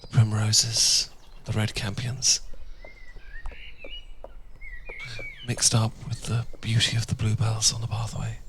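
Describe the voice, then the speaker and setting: A British man playing a tender, well-born Regency husband in a romantic ASMR roleplay, speaking softly and formally with affection, often dropping to a whisper. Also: lowish voice, completely flat delivery, moderate pace, lightly acted. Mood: wistful, adoring. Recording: noisy, birdsong and outdoor sounds behind. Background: birdsong.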